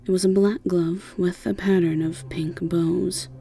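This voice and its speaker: A woman's voice: fairly low pitch, steady tone, a little slow, creaky, dark tone. A woman with an English accent narrating a scripted second-person story. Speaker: narrator and voice actor.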